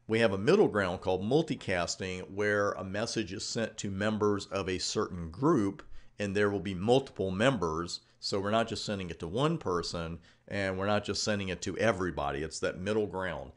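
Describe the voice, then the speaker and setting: A man with a low-pitched voice teaches a technical course over slides. He speaks conversationally, straight to the learner, and puts weight on the points that matter. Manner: conversational, addresses the learner directly, stresses key points. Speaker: instructor of a computer networking course. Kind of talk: informal narrated slide lecture.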